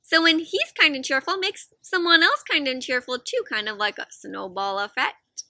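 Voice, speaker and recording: A young adult woman doing an expressive, interactive picture-book read-aloud for children, asking lots of questions. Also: sits high, moderate pace, dry recording, clean studio sound. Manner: expressive, asks frequent questions, gasps. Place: outdoors in a fenced backyard.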